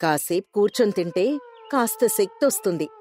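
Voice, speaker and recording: A devoted village wife from a moral tale, voiced by a woman, speaks at a middling pitch. She is gentle, respectful and concerned. Music plays behind her. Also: animated, normal speed, natural voice. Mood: caring.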